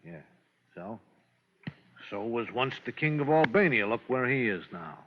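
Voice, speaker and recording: A man's voice: mid-range voice, sing-song, unhurried. A man playing a slippery, wisecracking gambling racketeer in an old radio crime drama, offhand and evasive. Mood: irritated, flippant. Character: slippery, flippant gambling racketeer with a shop front. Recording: dull, noisy vintage radio recording.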